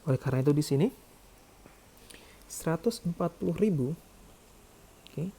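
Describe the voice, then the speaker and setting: Dark, husky lecturer's voice. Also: mid-range voice, lively intonation, moderate pace. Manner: didactic, checks with "ya" and "oke". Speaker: accounting lecturer. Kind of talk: explanatory lecture, working through calculations.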